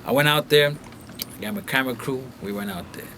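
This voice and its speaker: Young man from Colombia, interview guest: blunt, profane, streetwise, mid-pitched, faint hiss in a studio. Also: a little fast, bright, forceful tone. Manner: streetwise, blunt, profane, with frequent filler phrases. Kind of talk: casual interview talk. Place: recording studio.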